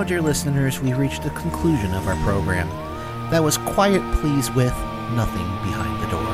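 A man hosting a horror programme, reading a scripted address in a formal, theatrical manner. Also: mid-range voice. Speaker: horror anthology podcast host. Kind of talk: scripted radio-host sign-off. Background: loud incidental music.

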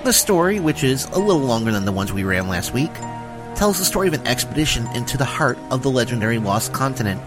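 A man's voice: mid-range voice, very animated, fast. A man delivering a scripted introduction as the host of a horror fiction podcast. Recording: music under the voice. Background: incidental music.

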